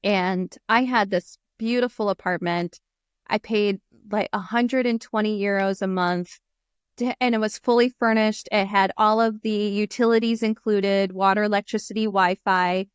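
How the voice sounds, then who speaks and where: A woman's voice: mid-range voice, steady tone, normal speed, smooth, dry recording, studio quality. An American woman in her thirties, a guest chatting casually on a video call through an earphone mic, laughing often and using many fillers. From United States. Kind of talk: casual interview chat. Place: small room indoors. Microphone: earphone headset on video call.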